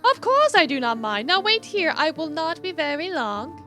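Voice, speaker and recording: Woman voicing an elegant, bright-voiced formal mermaid. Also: high voice, big pitch swings, moderate pace, faint music behind, pitched above the performer's own voice, full character voice. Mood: gracious. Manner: formal, stately diction. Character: elegant, sincere, formal young female mermaid.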